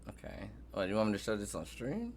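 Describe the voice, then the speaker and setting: A man's voice: lowish voice, wildly swooping pitch, brisk, vocal fry, a little hiss or hum. A man streaming live, chatting casually, joking around and laughing often.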